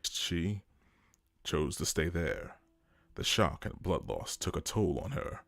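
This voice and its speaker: A man's voice: deep voice, sing-song, takes it slowly, vocal fry, a bit bright, no room sound. A man narrating a dramatic scripted story.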